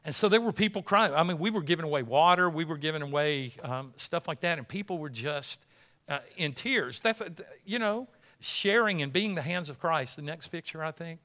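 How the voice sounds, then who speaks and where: Male preacher, higher-pitched voice, very bright and forceful, conversational, emphatic, exhorting, rewords as he goes. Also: moderate pace. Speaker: pastor preaching to a congregation. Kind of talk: informal sermon with missions slideshow.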